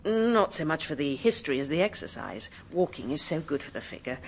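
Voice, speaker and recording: A woman's voice: low-pitched, sing-song, brisk, slight background noise. An actress playing a well-bred, evasive British married woman in a radio drama, polite with anxiety under the poise. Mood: airy, disdainful.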